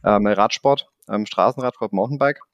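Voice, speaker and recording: A rapid, energetic middle-aged man whose German carries a blend of Swabian and Rhineland dialect. His voice sits a little low and sounds dry, close-miked and free of room echo. He jokes about himself and fills his pauses with quick "ähm"s. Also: highs cut off. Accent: mix of Swabian and Rhineland German. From Koblenz, Rhineland, Germany. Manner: fast, energetic, self-joking, frequent fillers. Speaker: podcast guest, training institute manager. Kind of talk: casual interview talk.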